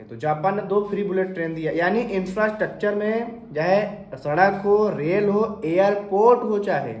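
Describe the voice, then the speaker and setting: A man with a fairly high voice talks viewers through an investing explainer while nursing a sore throat, clearing it now and then. He keeps it conversational, posing questions and answering them himself, and the room around him rings with echo. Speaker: finance explainer channel host. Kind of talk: informal explainer talk to camera.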